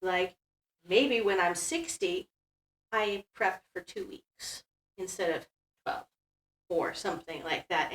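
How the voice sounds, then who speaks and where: A woman in casual conversation on a podcast, talking as a competitive powerlifter. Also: on the low side. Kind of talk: casual interview chat. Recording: echoey room, distant from mic.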